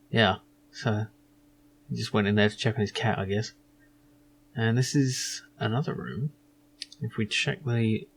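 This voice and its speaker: A man's voice: fairly low pitch, slight background noise. A man giving loose, rambling commentary as he plays a video game and reads its text aloud. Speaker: video game let's player.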